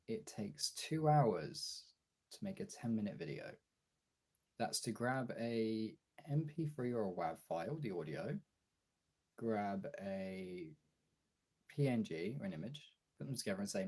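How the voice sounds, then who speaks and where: Young male streamer, husky and dark-toned, informal off-the-cuff chat with viewers. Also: on the low side. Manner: informal, chatty, answering chat as he goes. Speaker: streamer and video creator. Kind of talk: casual livestream chat.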